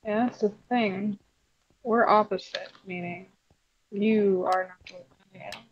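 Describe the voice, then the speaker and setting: A woman voices a guarded, teasing female puppet in a game roleplay. The delivery is deadpan and terse at an average pitch, through a slightly dull lo-fi mic. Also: unhurried, a little hiss or hum, close to an everyday voice. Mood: guarded, contrary. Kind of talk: improvised roleplay over gameplay chat.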